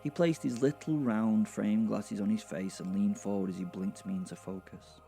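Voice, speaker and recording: A man with a low, mellow voice full of creak narrates a first-person horror comedy. He is wry and conversational, drawing out words for emphasis, with faint music behind him. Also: steady tone, normal speed. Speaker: narrator of a serial audio drama.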